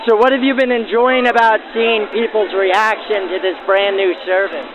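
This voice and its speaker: High-voiced man, old-time radio reporter. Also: steady tone, moderate pace, muffled recording, crowd noise. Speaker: host and interviewer. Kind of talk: scripted narration and field interviews backdated to 1940s radio. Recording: band-limited 1940s radio-style sound.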